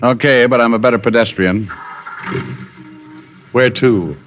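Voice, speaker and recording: An actor playing a sardonic private detective in an old radio drama, trading wisecracks and narrating in a hardboiled style. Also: male voice, medium pitch, measured pace, highs cut off, noisy background, close to an everyday voice. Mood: wry. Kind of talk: 1950s radio drama acting. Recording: old radio broadcast with music.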